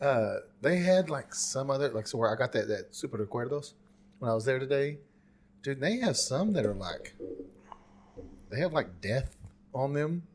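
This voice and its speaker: A man's voice: mid-range voice, expressive, normal speed, pauses often. Casual man chatting, easy colloquial banter. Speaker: podcast co-host.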